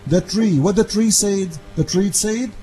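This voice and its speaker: A man whose first language is Arabic hosts a call-in debate, insistent and argumentative, speaking broken English and cutting in with quick repeats. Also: high-pitched, dark tone, a little hiss or hum. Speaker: debate show host.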